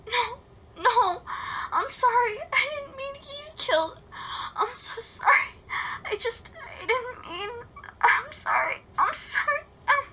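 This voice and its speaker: A woman's voice: extremely high pitch, lively intonation, unhurried, sharp tone, full character voice. A woman playing a wounded, guilt-ridden teenage girl in an amateur script read, with emotional, plaintive delivery. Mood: sobbing, distraught. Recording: noisy home microphone recording.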